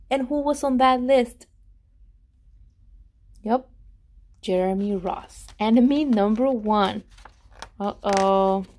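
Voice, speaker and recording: A woman reading a storybook aloud to children in an expressive, animated way, pausing to put questions to them. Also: on the high side. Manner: animated, with dramatic gasps and emphasis.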